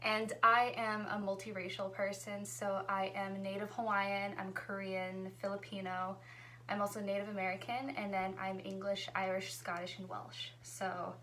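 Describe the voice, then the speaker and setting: A chatty woman hosting a relaxed couple vlog, her pitch sitting in an ordinary middle range. She talks in an easygoing, conversational way. A faint hum sits under her voice. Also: normal speed. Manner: chatty, easygoing, laughs often. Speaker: vlogger hosting a couple tag video with her fiancé. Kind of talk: casual chat.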